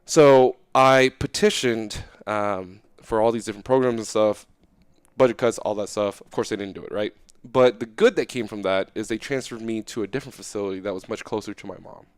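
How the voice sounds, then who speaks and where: A young man talking casually as an interview guest, animated and loose, often checking in with "right?". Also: lowish voice, fairly quick, clean tone, no room sound, studio quality.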